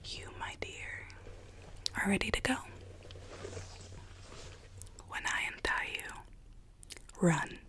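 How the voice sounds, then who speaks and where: A woman whispering an ASMR roleplay as a rogue Alice, a coaxing, sweetly menacing young woman, close to the microphone. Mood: urging. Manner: slow, hushed, coaxing, repeats phrases softly.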